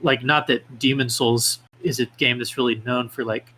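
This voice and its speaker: A man with a bright, crisp-edged voice of middle pitch talks casually as an interview guest. His phrasing is halting and tentative, and faint ambient noise sits behind him. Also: steady tone. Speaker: podcast guest, independent game developer. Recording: clear background noise, room ambience.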